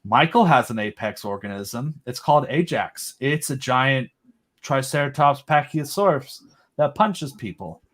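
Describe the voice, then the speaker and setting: A man's voice: mid-range voice, animated, a little slow, a bit bright. Eager, rambling man in a chat. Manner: enthusiastic and rambling, with frequent fillers. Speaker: podcast guest and audio drama creator. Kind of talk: casual conversational chat.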